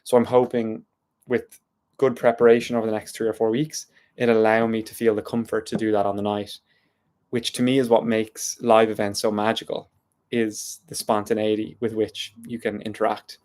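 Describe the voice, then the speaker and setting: Low, even-toned Irish man musing. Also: moderate pace. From Ireland. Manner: thoughtful, meandering, self-reflective. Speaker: vlogger. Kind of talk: unscripted reflective monologue.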